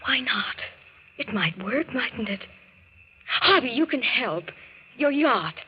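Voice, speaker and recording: A woman's voice: a little high, animated, slow, breathy edge, heavily acted. An actress in 1940s radio style playing an anxious but determined woman, earnest and emotional. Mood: pleading. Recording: muffled, noisy old radio broadcast.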